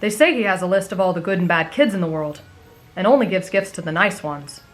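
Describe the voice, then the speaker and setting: A woman's voice: on the low side, lively intonation, brisk, soft background music, lightly acted. A woman voicing an emotional, cynical teenage boy demon slayer, grumbling and easily exasperated, in an anime fan audio roleplay. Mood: exasperated explaining.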